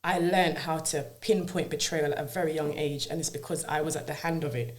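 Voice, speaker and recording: A woman's voice: sits low, fairly even intonation, brisk. A woman from Jamaica, a podcast guest telling her own life story in an earnest, reflective way, often checking in with "you know what I mean". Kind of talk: personal life story in a casual interview.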